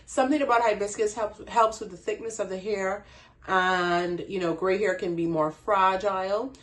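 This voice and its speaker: A woman's voice: mid-range voice, steady tone, normal speed. A woman from the Bahamas with a Bahamian accent, giving a casual hair care tutorial in a chatty way and laughing at herself. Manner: chatty, laughs at herself, frequent "you know". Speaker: hair care brand owner.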